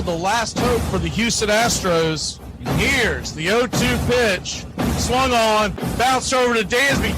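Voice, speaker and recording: An exuberant male baseball announcer calls the game in a very high, shouted voice. He stretches out his words and loves a rhyming catchphrase, with loud music under the call. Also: poor audio. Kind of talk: excited play-by-play commentary.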